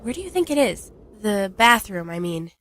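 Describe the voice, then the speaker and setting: A woman playing a shy, anxious and earnest young lover in a modern radio drama, hesitant yet expressive. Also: medium pitch, moderate pace, a little hiss or hum. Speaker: radio play actor.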